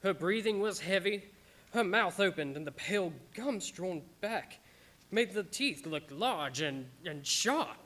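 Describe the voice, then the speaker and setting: A man's voice: high-pitched, lively intonation, measured pace, pushed and bright, heavily acted. Man playing an anguished, devoted doctor in radio drama. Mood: grave, narrating. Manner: stammering when distressed, dramatic. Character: anguished, devoted male doctor reading from his journals. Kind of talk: old-time radio drama acting and narration.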